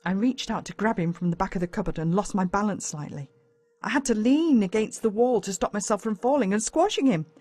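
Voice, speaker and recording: A woman's voice: mid-range voice, fairly quick, warm tone. A woman with an Australian accent narrating a children's story in a dramatic, suspenseful way. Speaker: audiobook narrator. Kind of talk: scripted first-person story narration for children.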